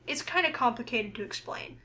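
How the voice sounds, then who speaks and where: A woman's voice: on the high side, expressive, a little fast, sharp tone. A woman reading a visual novel aloud, narrating and acting out the heroine's lines. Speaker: game player and narrator.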